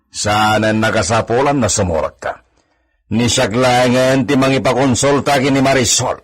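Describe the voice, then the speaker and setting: A man's voice: medium pitch, fairly even intonation, moderate pace, close to an everyday voice. An actor playing a bossy, imperious hacienda master in a radio drama, commanding and peppering his speech with Spanish words. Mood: stern, commanding. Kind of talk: Ilocano radio drama acting.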